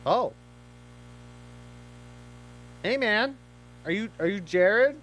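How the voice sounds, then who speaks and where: A man's voice: sits high, lively intonation, unhurried, a bit bright, low-quality recording. A man hosting a horror watch-along, giving casual reaction commentary with playful, rambling asides.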